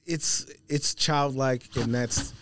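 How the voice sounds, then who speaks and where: A man's voice: medium pitch, steady tone, slow pace, a bit bright, no room sound. Informal man chatting as a podcast guest. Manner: conversational, informal. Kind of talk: casual chat. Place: studio.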